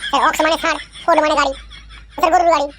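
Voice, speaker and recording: Woman playing a cocky schoolboy, piercing high voice, very rapid delivery, comic cartoon. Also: flat delivery, a bit bright, slight background noise. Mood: cheerfully confident. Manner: cheeky, insistent. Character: cheeky, cocky schoolboy prankster with absurd answers.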